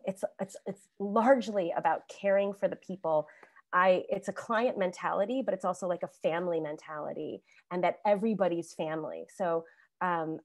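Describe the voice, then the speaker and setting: A woman's voice: fairly low pitch, no echo. Chatty woman on a dry video call. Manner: chatty, animated, laughs often, many restarts. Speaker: alumni panelist, actor. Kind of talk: casual storytelling on a video call panel.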